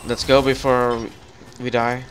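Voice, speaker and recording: A man talking his partner through a game in a relaxed, instructive way, his voice at an ordinary pitch. A faint hiss of noise sits under the recording. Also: takes it slowly. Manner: instructive, coaching. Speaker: gamer guiding a co-op partner. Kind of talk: casual gameplay chat.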